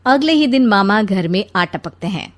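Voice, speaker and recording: A woman narrating a cartoon family story in a light, lively way. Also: medium pitch, normal speed.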